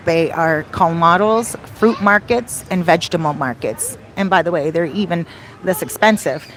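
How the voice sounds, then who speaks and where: A woman hosting a casual livestream Q&A, chatting conversationally and wandering off into asides. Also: fairly low pitch. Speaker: livestream host answering viewer questions.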